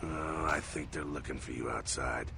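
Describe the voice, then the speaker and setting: An actor playing a gruff, streetwise veteran vampire in a video game, with a gravelly American voice and a salty, slangy, wry manner. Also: male voice, a bass voice, steady tone, normal speed, noisy background. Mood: easygoing. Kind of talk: video game character dialogue.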